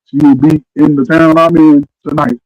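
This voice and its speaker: A man's voice: on the high side, little pitch movement, moderate pace, no room sound, dull audio. A man, co-hosting a casual sports chat and telling laid-back stories. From Arkansas. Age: 40s. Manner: laid-back storytelling, trails off with "you know what I'm saying". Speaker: co-host.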